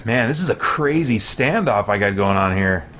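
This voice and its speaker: A man's voice: fairly low pitch, expressive, normal speed, fairly bright tone, slight background noise. A man streaming a video game, giving casual, easygoing commentary and muttering mild exclamations to himself. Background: video game sound effects.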